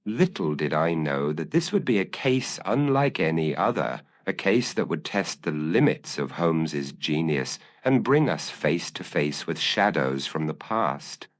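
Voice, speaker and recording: A man narrating a first-person detective audiobook in a measured, formal, literary manner. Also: rumbling low, big pitch swings.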